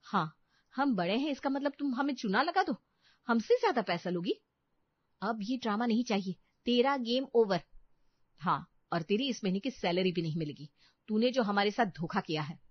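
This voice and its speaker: Indignant well-off housewife for a cartoon story, played by a female narrator, higher pitched, muffled audio. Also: animated, normal speed, breathy edge, dry recording. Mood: angry scolding. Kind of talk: cartoon moral story dialogue.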